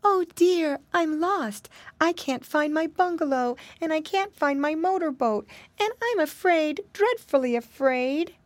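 A woman acts a frightened little girl lost in a storybook. Her voice is high, small and plaintive, with sobbing, wavering delivery in a dry, close recording. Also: very animated, takes it slowly, hardly any pauses, no echo, exaggerated voice. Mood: tearful, afraid. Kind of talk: children's storybook character voice.

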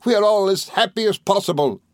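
A man voicing an exuberant, gushing, good-natured young gentleman in an audiobook, full of excited exclamations and repetitions. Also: sits high, brisk, dry recording, studio quality, voice pushed up, lightly acted. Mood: happy, flustered. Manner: effusive, exclaiming, repeats himself excitedly. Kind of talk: audiobook character voice.

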